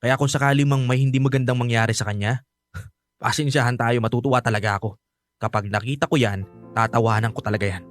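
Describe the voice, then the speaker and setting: A man's voice: medium pitch, fairly even intonation, fast talker, no echo, lightly acted. A resentful young man in a radio drama, played by an actor, arguing as a protective son. His delivery is bitter, emphatic and insistent, with music underneath. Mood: bitter, angry.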